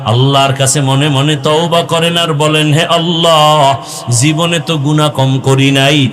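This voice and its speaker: A man's voice: a little high, steady tone, measured pace, a bit bright. A Bangladeshi man preaching an Islamic sermon in a pleading, impassioned, half-sung way, drawing out his phrase endings into a chant. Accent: Bangladeshi Bengali. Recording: long echoing reverb with music behind.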